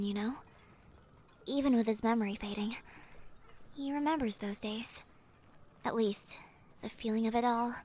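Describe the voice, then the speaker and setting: A woman playing a gentle, welcoming caretaker and old friend in an audio drama, soft-spoken and patient. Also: fairly high pitch. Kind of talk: cinematic audio roleplay acting.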